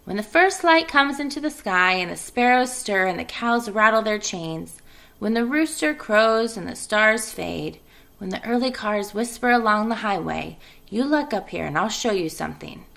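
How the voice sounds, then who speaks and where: A woman voicing a tired, gentle female spider in a storybook, reassuring and wise, in a low, calm, hushed voice. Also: moderate pace, vocal fry. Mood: calm, secretive.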